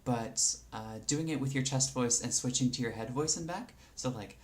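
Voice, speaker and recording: Low-voiced woman, rambling casual tips. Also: normal speed. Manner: relaxed, rambling with many fillers. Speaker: vlogger. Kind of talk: casual tutorial on voice and singing. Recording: faint background noise.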